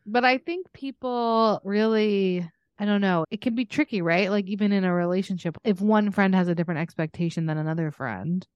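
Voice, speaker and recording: A woman's voice: medium pitch, brisk, clean tone, no echo, highs cut off. A woman co-hosting a podcast in casual chat, talking in a chatty, joking, self-deprecating way. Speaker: podcast co-host.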